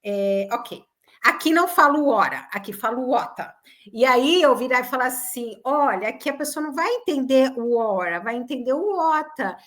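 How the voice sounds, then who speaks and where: A woman's voice: sits high, normal speed. A woman co-hosting a casual chat, talking emphatically and giving firm opinions. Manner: emphatic, opinionated, animated storytelling. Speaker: co-host.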